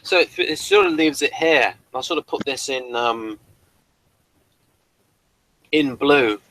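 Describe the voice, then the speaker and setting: A man's voice: mid-range voice, takes it slowly, pushed and bright, rough recording. A man hosting a casual review discussion, speaking in a hesitant, rambling and apologetic way. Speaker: host of a TV review show.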